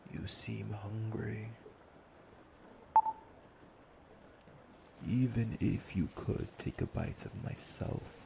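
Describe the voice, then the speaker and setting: Man playing a deep, gravelly, menacing vampire. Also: background noise, heavily acted. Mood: taunting, controlled. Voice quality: gravelly, creaky. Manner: slow, deliberate, menacing. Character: cold, cruel, domineering male vampire eldest brother. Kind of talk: dramatic audio roleplay acting.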